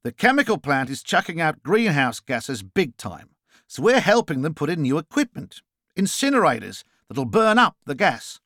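A man's voice: on the high side, sing-song, moderate pace, fairly bright tone, no room sound, studio quality, voice pushed up, exaggerated voice. A man voicing a brash, impatient Australian businesswoman in an audiobook, abrupt and exasperated. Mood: insistent. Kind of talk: audiobook character dialogue.

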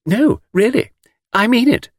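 A man's voice: very high-pitched, expressive, measured pace, no echo, clean studio sound, pitched above the performer's own voice, full character voice. A man voicing a skittish, coquettish young lady tourist who gushes and giggles in an audiobook story. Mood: gushing, coy. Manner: coy, breathy exclamations and giggles.

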